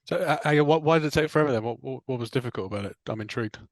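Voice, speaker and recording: A man's voice: mid-range voice, rapid-fire, no echo. A man with an English accent interviewing a band, asking curious questions with restarts. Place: inside a parked car.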